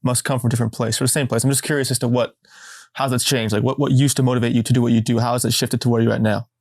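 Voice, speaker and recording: Quick, flat-voiced male podcast host. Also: medium pitch, dry recording, studio quality. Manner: curious, quick questions with restarts. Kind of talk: interviewing.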